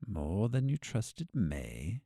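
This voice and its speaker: An actor in an audio drama playing a scheming, sardonic captive doctor with a condescending, drawn-out delivery. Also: male voice, fairly low pitch, very animated, vocal fry, mellow, no room sound, studio quality, exaggerated voice. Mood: mocking.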